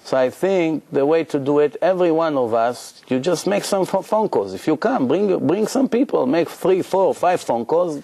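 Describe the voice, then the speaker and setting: A man, a rabbi, telling stories in a lively lecture to his congregation, acting out the people he quotes. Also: on the high side. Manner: animated, acts out quoted voices, frequent restarts.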